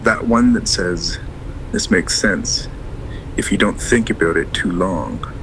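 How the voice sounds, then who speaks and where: Casting: male actor as quiet reflective caller, very low voice, clear background noise on the line. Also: takes it slowly. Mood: subdued. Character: subdued man caller, former horse-barn worker.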